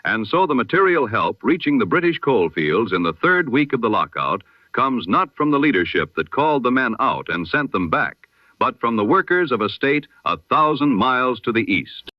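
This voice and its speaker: A man presenting a scripted news bulletin in 1920s radio style, with a formal, measured, solemn delivery. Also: mid-range voice, very animated, no echo, highs cut off.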